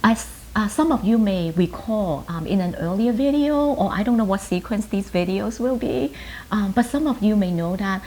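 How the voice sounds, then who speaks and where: A woman in her fifties from Hong Kong, a native Chinese speaker, reflecting on her life in an earnest, halting way in short phrases. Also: mid-range voice, animated, mellow, rough recording. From Hong Kong, later New York. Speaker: pharmacist and writer being interviewed. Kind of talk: reflective personal interview answers. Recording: hissy background noise.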